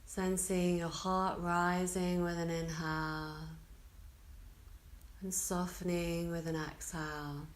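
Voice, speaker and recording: A woman teaching yoga nidra, guiding a relaxation meditation in a soothing, unhurried way with short phrases and long pauses. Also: on the low side, flat delivery.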